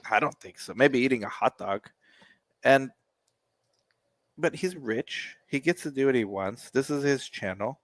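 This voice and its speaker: A man's voice: mid-range voice, a little slow, pauses often, dry recording. A man, co-hosting a casual music reaction show, joking and sarcastic as he rambles. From United States.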